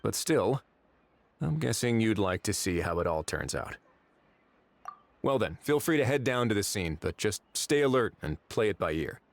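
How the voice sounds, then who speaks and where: A man voicing an affable, easygoing male official in a video game, relaxed and lightly wry. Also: on the low side, normal speed. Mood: easygoing, measured. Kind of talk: video game dialogue acting. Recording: game music behind the voice. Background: background music.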